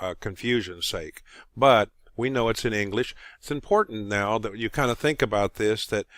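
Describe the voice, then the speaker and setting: A man presenting an informal screen-recorded tutorial, talking haltingly as he thinks aloud through each step. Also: lowish voice, bright, forceful tone, clean tone, no room sound.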